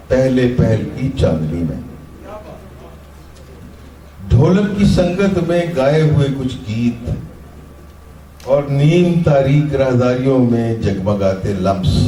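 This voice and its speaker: A man reciting his own free-verse poems live, in measured, deliberate phrases with pauses and repeated lines, in a roomy recording from off the mic. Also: mid-range voice, mellow, a little hiss or hum. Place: literary festival session, recorded live. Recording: roomy, distant-miked live event recording.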